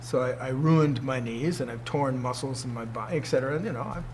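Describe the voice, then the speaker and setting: A man's voice: mid-range voice, brisk, a little hiss or hum. A man in his sixties, a martial arts teacher, giving an informal talk to students, emphatic and fond of rhetorical questions. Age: 60s.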